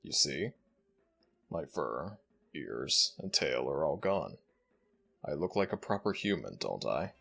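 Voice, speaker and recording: An ancient, dignified jackal god voiced by a man for an audio roleplay. His voice is very low and gravelly, with wide swings of intonation. He speaks slowly and formally, regal yet fondly exasperated. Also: very animated, fairly bright tone, faint music behind, exaggerated voice. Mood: calm, proud. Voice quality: deep, gravelly. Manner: formal, slow, measured.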